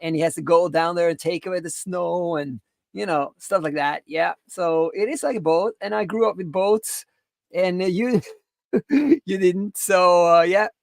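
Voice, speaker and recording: A woman co-hosting a casual livestream, telling rambling anecdotes in a halting, repetitive, teasing way. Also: on the low side, vocal fry, no echo, clean studio sound. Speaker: livestream co-host.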